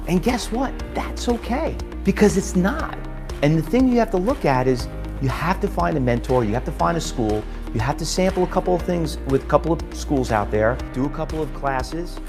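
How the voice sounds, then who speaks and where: A man in his forties, founder of a trading school, pitching emphatically with rhetorical questions. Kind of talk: promotional testimonial pitch. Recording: music bed underneath.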